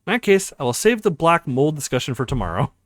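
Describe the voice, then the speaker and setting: A man's voice: on the high side, very animated, quick pace, dry recording, clean studio sound. A man voicing a nerdy, smug female hacker with wordy, mock-formal phrasing in a visual novel. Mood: smug, teasing.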